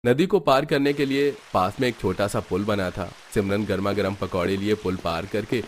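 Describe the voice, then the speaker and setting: Male narrator for cartoon tales: lowish voice, scripted storytelling, slight background noise. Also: normal speed.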